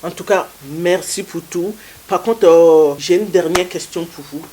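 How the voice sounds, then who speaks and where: A woman's voice: on the low side, measured pace, warm tone. A woman interviewer asking earnest, emphatic questions.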